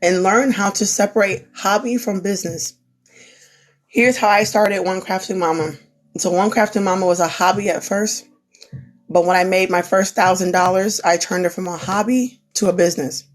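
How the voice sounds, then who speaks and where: A woman's voice: medium pitch, steady tone, normal speed. A young woman who is losing her voice gives an earnest, repetitive pep talk on a live stream. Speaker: small business owner, crafter. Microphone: phone.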